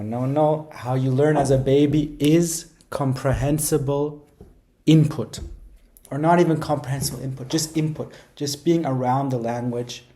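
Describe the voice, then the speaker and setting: A man's voice: mid-range voice, dark tone. A man giving casual, rambling how-to advice, speaking informally and emphatically and often repeating phrases for stress. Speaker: language-learning video creator.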